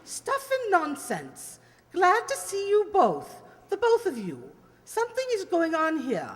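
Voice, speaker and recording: A woman's voice: very high voice, huge pitch swings, a little slow, exaggerated voice. Radio mystery dowager type played by a woman: clipped, imperious, impatient, swooping intonation, roomy reverb. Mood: brusque, suspicious. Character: blunt, impatient, nosy aristocratic older lady. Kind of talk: radio play acting. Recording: echoey hall reverb.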